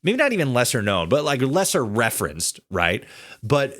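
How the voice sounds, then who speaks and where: A man in a casual podcast conversation, speaking haltingly as he searches for words and repeats himself. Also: mid-range voice, big pitch swings, a bit bright. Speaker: podcast guest, audio drama writer. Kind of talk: casual chat.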